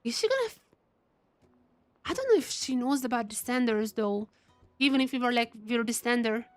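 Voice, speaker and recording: A high-pitched female streamer chats casually through a game with clear music playing behind her. She is excitable and exclamatory, voicing her thoughts as they come. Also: moderate pace, dry recording. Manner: excitable, exclamatory, thinking aloud. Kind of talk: live gameplay commentary, casual chat.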